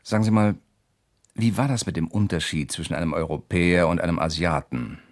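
An actor playing a persistent, slyly courteous investigator in a German radio crime drama, questioning insistently and deliberately. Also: male voice, deep voice, close to an everyday voice. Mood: probing, feigned innocence. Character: persistent amateur investigator, male, probing with sly courtesy.

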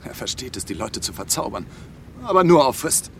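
A fussy refugee man in a radio play, full of his own importance. His pitch leaps about wildly as he halts and exclaims in agitation. A faint noise sits behind the voice. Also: big pitch swings, fairly quick, a bit bright. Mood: self-important disdain. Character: fussy, nervy refugee acquaintance, self-important committee man. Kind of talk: German radio drama dialogue.

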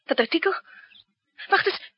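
An actress playing an anxious, devoted young companion of a spy in a 1960s radio drama, urgent and hesitant. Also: female voice, a little slow, lightly acted. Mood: uneasy, urgent. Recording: dull, slightly noisy 1960s radio recording.

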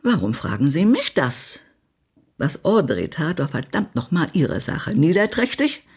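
A venomous, haughty lady of old family in a radio play. The voice is low and husky with a gravelly creak, and she talks bluntly and imperiously, as one who knows every scandal in town. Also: big pitch swings, a little slow, darkish tone, full character voice. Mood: indignant, venomous. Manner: blunt, acid, imperious, swearing. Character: spiteful small-town society gossip, haughty woman of old family. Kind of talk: German radio play acting.

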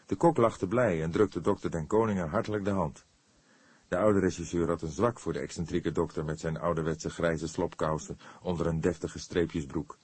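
A male narrator reads crime fiction from a script. His pitch sits very low and the tone is husky. The recording is dry, with hardly any room around the voice. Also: darkish tone, no echo. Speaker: audiobook narrator.

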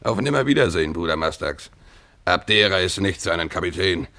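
Hard-drinking sea captain, low pitch, blustering, muttered asides, radio drama. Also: male voice, expressive, brisk, pushed and bright, exaggerated voice. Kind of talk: German radio play acting, narrating and dialogue.

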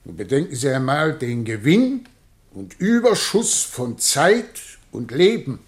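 A man's voice: fairly high pitch, very animated, unhurried. An actor in a German radio play, playing a self-satisfied male dramatist who speaks with pompous pride. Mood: smug. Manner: smug, pompous.

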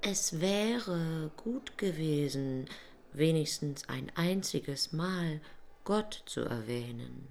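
Female radio drama angel: low voice, earnest, understated, plain-spoken. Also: animated, slow, vocal fry, a little mellow, exaggerated voice. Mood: quiet, hesitant. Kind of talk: literary radio play acting.

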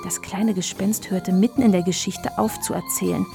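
A woman's voice: medium pitch, fairly even intonation, normal speed, gravelly, over music. A woman narrator reading scripted storybook narration for a radio play.

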